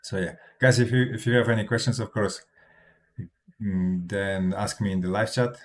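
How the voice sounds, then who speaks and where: A man speaking English as a second language, giving casual livestream commentary on market charts, halting with many restarts and a habitual "right?" and soft chuckles between points. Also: on the low side, fairly even intonation. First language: not English. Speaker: crypto trading streamer.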